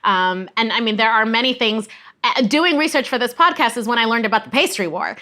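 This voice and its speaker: A woman's voice: on the high side, expressive, a little fast, bright, forceful tone. A woman guest, a museum educator, explaining her thoughts earnestly in a conversational interview.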